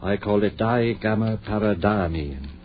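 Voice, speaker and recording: An actor playing an obsessed, grieving surgeon-scientist, fervent and commanding, in a vintage radio horror drama. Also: male voice, on the low side, animated, measured pace. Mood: intent, brisk. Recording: muffled, hissy old radio recording with hum.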